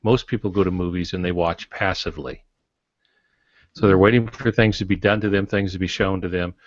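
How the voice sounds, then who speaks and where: A man co-hosting a casual podcast, reasoning things through in an explanatory, measured way. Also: low-pitched, fairly even intonation, no echo. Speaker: podcast co-host. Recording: dull, dry lo-fi podcast audio.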